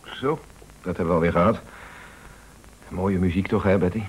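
An actor in a Dutch radio play playing a fussy, obstinate husband with an insistent, indignant, bossy way of talking. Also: male voice, low-pitched, normal speed, a little hiss or hum, close to an everyday voice, low-quality recording. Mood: content.

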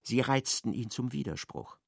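A male narrator tells a radio play in a measured, ironic way. His voice has a gravelly grain and sits mid-range. The studio sound is dry. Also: mid-range voice, animated, dry recording.

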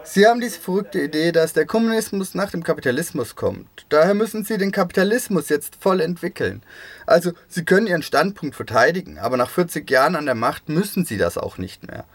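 Higher-voiced man in a dry radio recording. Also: lively intonation, a little fast, no room sound. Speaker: radio presenter and voice-over reader. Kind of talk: radio feature with scripted dialogue and interview voice-over.

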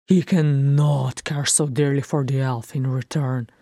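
Narrator voicing a slow, growling, proud dwarf king. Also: medium pitch, fairly even intonation, mellow, no room sound, clean studio sound. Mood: angry, insistent. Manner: growling, emphatic, drawn-out words. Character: proud wrathful dwarf king, brooding and remorseful. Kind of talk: fan fiction audiobook character acting.

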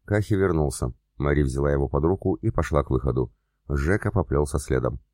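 A man reading a novel aloud as a steady audiobook narrator, voicing the characters with little change. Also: a bass voice, fairly quick, dry recording, clean studio sound. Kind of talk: scripted narration.

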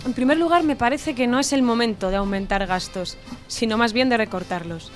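A woman's voice: a little high, fairly quick, over music, close to an everyday voice. A woman playing a confident, authoritative mother in an audio drama, assured and lecturing. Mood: businesslike.

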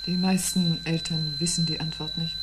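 An actress playing an uneasy but stoic woman facing the world's end in a radio drama, speaking in terse, measured phrases. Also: deep voice, flat delivery, close to an everyday voice. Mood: stoic, resigned. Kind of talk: 1970s German radio drama acting. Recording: 1970s radio recording with faint hum.

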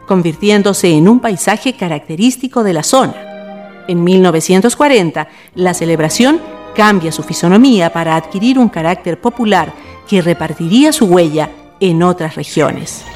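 A woman with a Chilean accent giving scripted narration for a radio drama. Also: fairly low pitch.

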